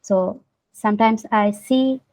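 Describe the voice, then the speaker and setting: Mellow-voiced Sri Lankan woman, hesitant. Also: on the high side, takes it slowly. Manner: hesitant, searching for words with frequent uhs. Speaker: interviewee, artist. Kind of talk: casual interview answers. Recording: dull lo-fi recording.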